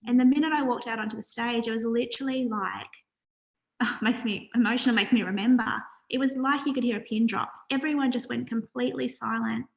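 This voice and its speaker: A soft-spoken woman, a charity founder, talking earnestly in a casual interview with many pauses and fillers. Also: fairly high pitch, steady tone, creaky, low-quality recording.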